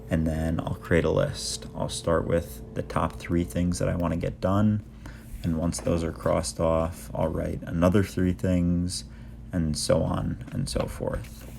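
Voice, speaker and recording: A young man with a very deep voice and a gravelly edge narrates his day in an easy vlog style. He keeps a flat, monotone delivery throughout, and a faint hiss sits under the recording. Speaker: vlogger. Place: apartment kitchen table and backyard.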